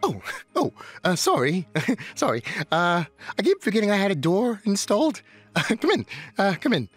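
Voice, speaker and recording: A flustered, bookish kobold played by a man in an audio drama. He is friendly and apologetic, tripping over his words with very swooping pitch over faint music. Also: wildly swooping pitch, normal speed, full character voice. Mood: flustered, welcoming. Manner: apologetic, giggly, stammering.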